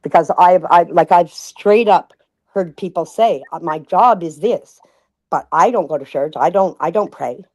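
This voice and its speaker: A woman in a casual livestream discussion, thinking aloud with many restarts and reading passages out slowly. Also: lowish voice, darkish tone, muffled recording.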